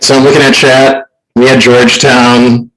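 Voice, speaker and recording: A man with a loud voice hosting a casual live stream in a playful, showman-like way, close to the microphone. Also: mid-range voice, flat delivery, moderate pace, rough recording. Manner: playful showman, theatrical announcing. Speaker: show host. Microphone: close professional microphone on an online call.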